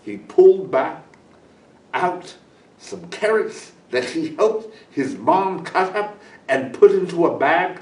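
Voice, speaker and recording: A man reading a children's story aloud as a guest storyteller, in an animated way. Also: sits high, slow pace. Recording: echoey room, distant microphone.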